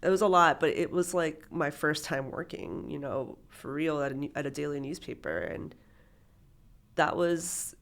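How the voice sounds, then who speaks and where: A woman's voice: low voice, animated, normal speed, vocal fry. A woman in her thirties, born in Peru and raised in Miami, chatting casually as an interview guest, full of fillers and restarts. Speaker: documentary photographer, interview guest.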